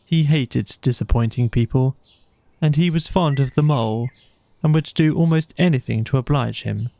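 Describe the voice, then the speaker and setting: A man narrates a children's bedtime audiobook in a mid-pitched voice with a clear creak. His delivery is measured and expressive, shaped as scripted storytelling. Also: dry recording.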